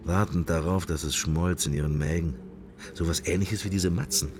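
A man's voice: sits low, steady tone, moderate pace, background noise, lightly acted. An actor playing a wry, irreverent man musing to himself in clipped fragments, as inner monologue in a radio drama. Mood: musing, ironic. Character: wry, musing male sceptic, inner thoughts while observing church.

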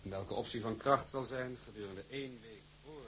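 An older male actor playing a shrewd, imperious company boss with a gravelly, husky voice and a deliberate, patronising manner in a drama. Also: mid-range voice, fairly bright tone, a little hiss or hum, close to an everyday voice, poor audio. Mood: commanding.

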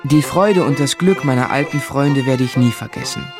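An actor playing a sincere young gentleman who tells his own life story in an earnest, literary way in a radio drama. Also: medium pitch, normal speed, loud music behind the voice, natural voice. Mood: reflective. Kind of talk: radio drama narration and dialogue.

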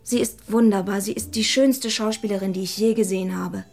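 An actress playing a shy, frank twenty-year-old would-be writer, naive and earnest, in a radio drama. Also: female voice, medium pitch, fairly even intonation, a little fast, vocal fry, a little mellow. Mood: awed.

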